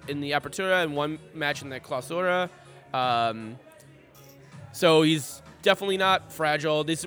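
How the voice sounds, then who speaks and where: A man's voice: on the high side, fairly bright tone. A man co-hosting a sports podcast, rambling casually with lots of fillers, restarts and swearing. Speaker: podcast co-host.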